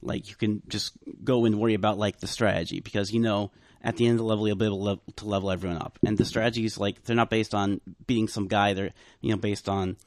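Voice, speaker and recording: A man chatting casually in a group, halting and full of fillers and restarts, his voice going hoarse. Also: on the low side, little pitch movement, dry recording, clean studio sound. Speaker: podcast panelist. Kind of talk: casual group chat about video games.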